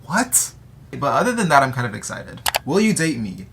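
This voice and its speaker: A man's voice: mid-range voice, very animated, moderate pace, noisy background. A 19-year-old man with an American accent chatting casually and playfully to his audience in a slangy, joking way. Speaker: vlogger answering audience questions. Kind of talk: casual chat to camera.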